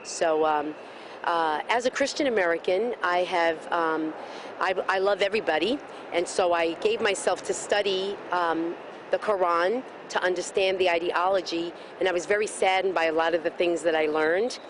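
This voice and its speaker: A woman with a somewhat low voice speaks with fervor, building her answer like a speech and asking rhetorical questions. She is outdoors at a street rally, with faint street noise under her words. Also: normal speed. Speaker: rally protester being interviewed. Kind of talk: impassioned street interview.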